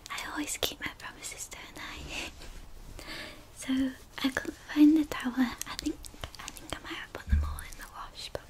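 A young woman whispering softly in a girlfriend-style ASMR roleplay, doting and giggly. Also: high voice, steady tone, moderate pace, rough recording. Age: young adult. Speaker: ASMR creator. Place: bedroom with purple lights.

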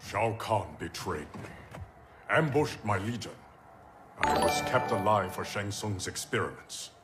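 An actor playing a stern, proud warrior emperor in a video game, speaking in a grave, formal, declamatory way. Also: male voice, lowish voice, lively intonation, unhurried. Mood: grave, resolute. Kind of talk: video game dramatic acting. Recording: loud game music behind.

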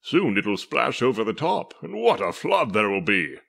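A proud little toy tugboat from a children's storybook, acted out by a man, fretful and indignant. The voice swings wildly up and down with a gravelly creak, declaiming every exclamation. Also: lowish voice, very animated, normal speed, fairly bright tone, heavily acted. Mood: worried.

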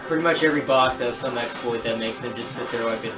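A man's voice: mid-range voice, fast talker. A man co-hosting a live game marathon, chatty and teasing, laughing often. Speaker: marathon co-host. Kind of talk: casual live commentary. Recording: roomy, from far off, loud game music.

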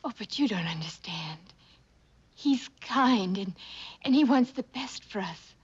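Slow, breathy young lieutenant. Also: female voice, medium pitch, lively intonation. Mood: pleading, anguished. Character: young female lieutenant, torn, pleading and lovestruck. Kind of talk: 1960s television drama acting. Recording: dull old TV soundtrack, faint music.

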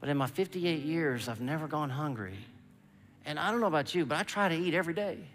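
A man's voice: a little high, animated, fast talker, a bit bright, slight background noise. A man in his fifties preaching a sermon from a large church stage, emphatic and calling out "come on" and "amen", mostly on a headset mic. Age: 50s. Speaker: pastor. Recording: long reverb of a large hall.

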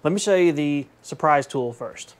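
A man's voice: on the high side, expressive, moderate pace. A man in his thirties, chatty and enthusiastic, showing off tools in a small home woodworking shop, often correcting himself with jokey asides. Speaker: woodworking vlogger. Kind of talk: casual show-and-tell vlog. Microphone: fixed camera at desk distance.

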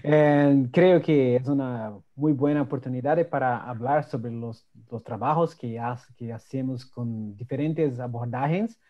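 A man whose first language is Portuguese, speaking Spanish with a Portuguese accent on a video-call panel, halting and searching for words. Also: mid-range voice. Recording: dull lo-fi sound.

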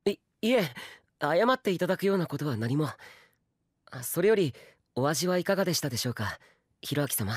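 A man's voice: a little high, big pitch swings, a little fast, no echo, clean studio sound. An actor playing a modest, courteous young noble swordsman in an anime audio drama, speaking politely and mildly. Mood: humble, polite. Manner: polite, deferential, mild.

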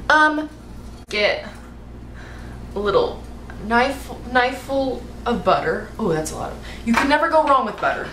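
Young woman vlogger, very animated, unhurried, joking around in a noisy, echoey kitchen. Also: medium pitch. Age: young adult. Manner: silly, self-mocking, loud outbursts. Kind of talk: goofy cooking vlog. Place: home kitchen at night. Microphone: camera across the kitchen. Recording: echoey kitchen, audible noise.

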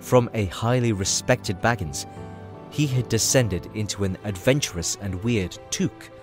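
Low-pitched male story narrator. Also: a little slow. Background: music.

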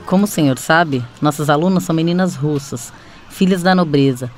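Woman playing a stern, low-toned schoolteacher. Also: a little fast, faint music behind, natural voice. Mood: formal, grudging. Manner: formal, stern. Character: stern, formal schoolteacher at an elite girls' institute. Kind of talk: radio drama acting.

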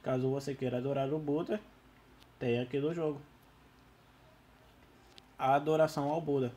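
Brazilian male gamer, mid pitch, husky and losing his voice, loose slangy play-by-play with excitable exclamations. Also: normal speed. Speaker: gaming YouTuber. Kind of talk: casual gameplay commentary.

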